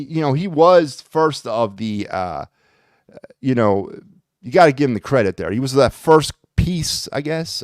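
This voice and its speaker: A man's voice: mid-range voice, no room sound, studio quality. A man hosting a solo sports podcast, talking in a rambling, blunt and sarcastic way with lots of fillers. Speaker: podcast host.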